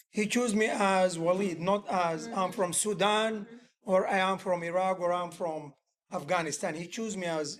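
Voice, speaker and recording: A man who is a musician and music teacher, talking in a casual workshop setting. He is earnest and rambling, uses loose grammar and often restarts his sentences. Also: high voice.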